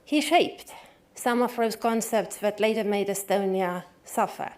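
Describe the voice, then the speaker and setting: A woman introducing the next speaker at a conference, in a conversational, lively way with small slips. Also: mid-range voice, unhurried. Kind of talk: informal introduction speech.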